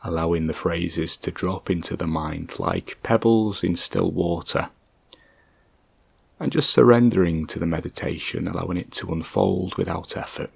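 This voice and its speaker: A man with a deep, gravelly voice leads a guided meditation. He speaks gently and calmly, leaving long, measured pauses between phrases. The sound is close and dry. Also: dry recording. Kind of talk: guided meditation, soft slow instruction.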